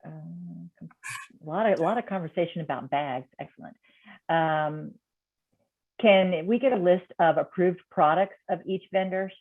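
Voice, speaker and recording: A man's voice: high-pitched, a little slow. A man moderating a meeting, reading out audience questions, hesitant and thinking aloud. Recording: tinny phone-like line.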